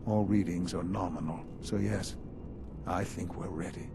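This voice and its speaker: A man playing a composed, authoritative senior scientist in a video game, speaking in a measured, formal way. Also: deep voice, steady tone, creaky, background noise. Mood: calm, assured.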